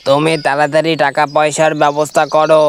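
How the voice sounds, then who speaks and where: A grave village healer from a cartoon folk tale, played by the storyteller. The pitch is moderate and there is noise behind the voice. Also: completely flat delivery, a little fast, lightly acted. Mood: concerned, grave. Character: grave village healer giving bad news. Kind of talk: cartoon moral-story character voice.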